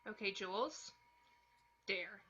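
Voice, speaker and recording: A woman's voice: medium pitch, slow, pushed and bright, slightly rough, muffled recording, exaggerated voice. A woman playing a wary, reserved young woman in an amateur table read.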